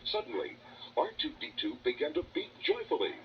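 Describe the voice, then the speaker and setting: A man's voice: a little high, sing-song, fairly quick, slight background noise. Male storybook narrator on muffled cassette. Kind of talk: dramatic scripted children's read-along narration. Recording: muffled distorted toy cassette playback. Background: loud dramatic music.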